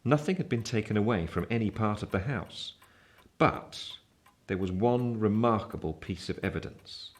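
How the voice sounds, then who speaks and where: A man's voice: on the low side, normal speed, natural voice. An actor playing a reflective grown man narrating his youth, with an English accent, in a dramatic literary style. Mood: grave, tense.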